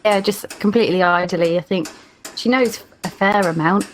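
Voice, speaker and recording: A woman player casually describing her character's actions in a tabletop game, with hesitant pauses. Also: medium pitch, a little hiss or hum.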